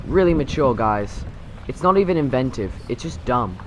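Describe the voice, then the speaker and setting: A man's voice: medium pitch, expressive, moderate pace, background noise, close to an everyday voice. A man playing a cocky, short-tempered teenage boy who talks in sarcastic slang, in an amateur radio drama. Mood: sarcastic, annoyed.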